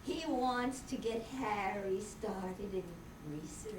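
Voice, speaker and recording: A woman's voice: mid-range voice, slow pace, close to an everyday voice. A woman playing Ruth, a poised, wry young bride in an old-time radio drama. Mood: earnest. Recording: noisy echoey room, distant mic.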